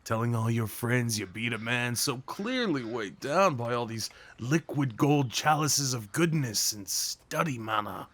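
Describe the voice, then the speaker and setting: Man playing sweet nervous gentle-giant boyfriend, ASMR roleplay, lively intonation, playful teasing, boyish, softly hesitant. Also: medium pitch, a little slow, hardly any pauses. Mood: mock indignant. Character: big, sweet, nervous young man on first date. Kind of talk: ASMR roleplay audio drama, one-sided conversation.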